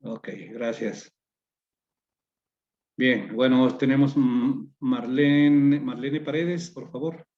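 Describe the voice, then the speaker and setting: A man moderating an online course on a video call, speaking with many pauses and fillers. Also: mid-range voice, fairly even intonation. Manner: hesitant, many fillers and restarts. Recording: dull lo-fi video call audio.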